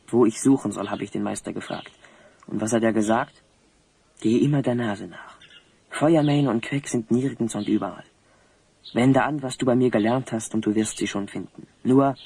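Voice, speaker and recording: An actor playing a put-upon wizard's apprentice, complaining sarcastically and self-importantly in a husky voice for a fantasy audio play. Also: male voice, mid-range voice, a little mellow.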